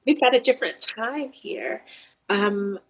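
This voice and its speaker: A woman's voice: on the high side, lively intonation, measured pace, a bit bright, low-quality recording. A middle-aged woman therapist talking earnestly about grief in an interview, acting out kids' replies now and then. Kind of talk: reflective interview talk.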